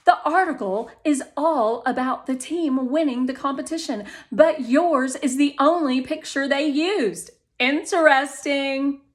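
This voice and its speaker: A woman voicing a cheerful, doting, excitable mother in a storybook, gushing and chatty. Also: sits high, a little slow, hardly any pauses, voice pushed up. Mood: reassuring.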